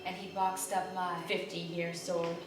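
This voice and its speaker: A woman in an ensemble reading a story aloud live on a theater stage, slow and deliberate. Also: lowish voice, level tone, over music. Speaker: ensemble reader. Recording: echoey live theater, far from the mic, audience noise.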